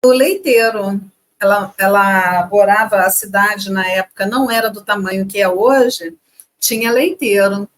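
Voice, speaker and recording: A woman from Rio de Janeiro co-hosting a casual chat, lively and chatty as she reads facts from her notes. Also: mid-range voice, a little slow. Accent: Rio de Janeiro Portuguese. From Brazil. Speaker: co-host.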